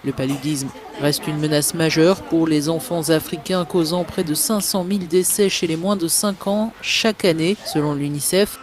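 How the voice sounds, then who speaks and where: A man narrates news reports from a script in a fairly high male voice. He reads in full, formal sentences as a voice-over reporter. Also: normal speed, hardly any pauses.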